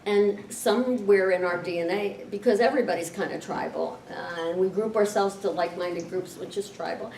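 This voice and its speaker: A woman's voice: mid-range voice, fairly quick, gravelly, hardly any pauses. A woman leading a group discussion, speaking earnestly and often voicing agreement. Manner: earnest, encouraging with frequent agreement. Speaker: discussion leader. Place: meeting room with a group. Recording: echoey room, distant mic, faint noise.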